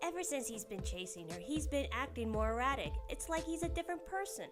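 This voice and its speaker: A woman's voice: high-pitched, lively intonation, brisk, over music, voice pushed up. A woman playing a young student in an audio drama, a fretful sister with a fiery temper and a casual, emotional manner. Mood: worried.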